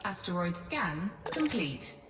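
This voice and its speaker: A woman voicing a calm spaceship computer in a video game, giving clipped, formal, matter-of-fact status announcements. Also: on the low side, slow pace, very noisy. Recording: distant, reverberant, under loud game music.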